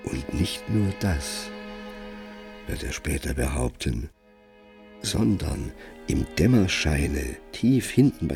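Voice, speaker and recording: An actor playing a grave, brooding narrator of a Gothic tale in a radio play, measured and solemn with dramatic emphasis. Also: male voice, rumbling low, vocal fry, exaggerated voice. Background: music.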